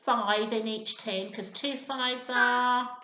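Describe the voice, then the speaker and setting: A woman reading a children's story in an expressive, sing-song, encouraging way, pausing to put questions to her young listeners. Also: fairly high pitch, a little hiss or hum. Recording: echoey room sound.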